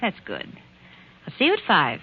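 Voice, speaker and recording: A jealous society wife in a 1940s radio mystery. She is coolly formal and pointed, in a fairly low voice, heard through muffled, narrow old broadcast audio. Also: wildly swooping pitch, normal speed. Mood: controlled, calculating.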